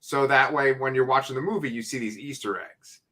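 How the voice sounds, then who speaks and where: A middle-aged man co-hosting a casual live chat, excitable and swearing freely as he explains things. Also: mid-range voice, a little fast. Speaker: co-host.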